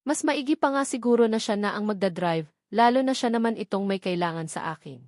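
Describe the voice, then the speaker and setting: A woman's voice: mid-range voice, lively intonation, a little fast, darkish tone, no room sound, clean studio sound. A woman reading a first-person romance novel as a scripted audiobook narration.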